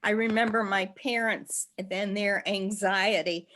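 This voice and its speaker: A woman's voice: mid-range voice, steady tone, unhurried, fairly bright tone. An eighty-year-old woman talking reflectively about her own life in an interview. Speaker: interviewee, hospital volunteer. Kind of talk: reflective personal conversation.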